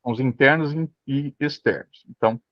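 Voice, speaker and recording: A man's voice: medium pitch, normal speed, no room sound. A man with a Brazilian accent moderating an online workshop, informally relaying questions from participants. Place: on a video call. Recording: dull lo-fi online call audio.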